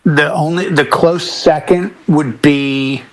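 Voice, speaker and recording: A man's voice: a little high, fairly even intonation, slow pace, a little hiss or hum, rough recording. A man from the Boston area talking casually as a DJ on a panel, slipping into anecdotes and short acted-out quotes. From Boston area, United States. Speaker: wedding DJ, panel member. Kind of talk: casual panel chat about music.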